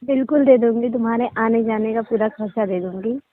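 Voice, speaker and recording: A young woman with a fairly high voice speaks over a narrow phone line, with a dry, close sound. She keeps her replies short and guarded in a casual conversational style. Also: brisk, dry recording. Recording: tinny, muffled phone line.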